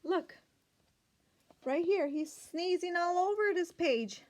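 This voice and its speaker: A woman reading a picture book aloud to young children, expressive and full of questions and asides to her listeners. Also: very high voice, takes it slowly. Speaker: children's read-aloud storyteller.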